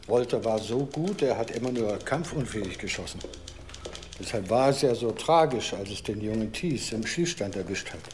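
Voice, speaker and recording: An actor playing a stern senior homicide detective in a radio play, measured, matter-of-fact and authoritative. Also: male voice, on the low side, lively intonation, a little mellow, lightly acted. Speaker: senior police official. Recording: background noise, typewriter clatter. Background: office typing.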